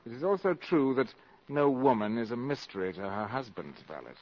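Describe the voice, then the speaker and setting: An actor playing a calculating, ambitious valet in a 1950s radio drama, speaking formally and deferentially but with self-assurance. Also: male voice, mid-range voice, expressive, a little fast, close to an everyday voice. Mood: bold, intimate. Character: smooth, calculating, ambitious valet addressing a countess. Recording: muffled vintage 1950s radio recording.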